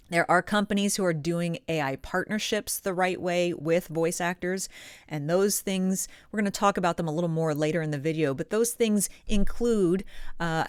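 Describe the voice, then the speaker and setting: A woman's voice: lowish voice, dry recording, studio quality. A woman voice actor and coach talking instructively to viewers, stressing her key points. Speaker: voice actor and coach hosting advice video.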